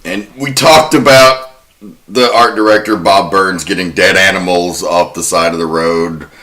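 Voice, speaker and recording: A man's voice: low-pitched, unhurried, bright, forceful tone. A man hosting a casual film discussion podcast, talking informally and with enthusiasm, swearing now and then. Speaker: podcast host.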